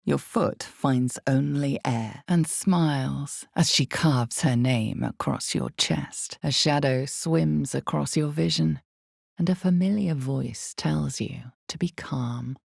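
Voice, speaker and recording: An actress playing the grave, ominous narrator of a dark fantasy video game, speaking in a measured, theatrical way with a deep, gravelly voice. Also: female voice, no echo, clean studio sound, full character voice. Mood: grave, brooding. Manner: measured, deliberate, brooding, theatrical. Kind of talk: dramatic scripted second-person fantasy narration.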